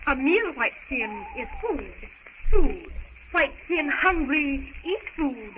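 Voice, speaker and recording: High, lilting, patient young woman. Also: very animated, slow, heavily acted. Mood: patient, encouraging. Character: gentle, educated young woman teaching a wild man words. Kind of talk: 1930s radio serial acting. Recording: muffled, noisy 1930s radio recording.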